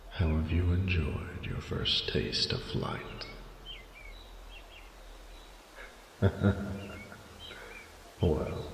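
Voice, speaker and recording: A man voicing an ancient, noble male dragon in a romantic audio roleplay, speaking formally and solemnly with tenderness in a gravelly, breathy voice. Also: a bass voice, heavily acted. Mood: tender, proud. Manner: slow, stately, formal phrasing. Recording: reverberant, echoing, noisy ambient bed.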